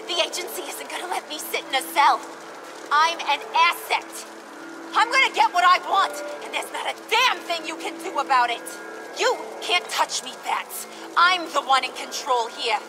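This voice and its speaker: A woman's voice: sits high, expressive, moderate pace, over music. A woman playing a brash, cocky criminal clown turned agent in a video game, mocking and theatrical in her taunts. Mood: defiant. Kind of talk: video game character acting.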